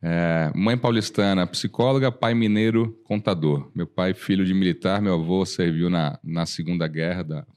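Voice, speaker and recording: A Brazilian man in his forties, a lawyer guest on a podcast, telling stories in a lively way and acting out old conversations. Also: sits low, normal speed, few pauses, clean tone. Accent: Brazilian Portuguese. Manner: animated storyteller, acts out dialogue, frequent restarts. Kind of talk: casual storytelling interview.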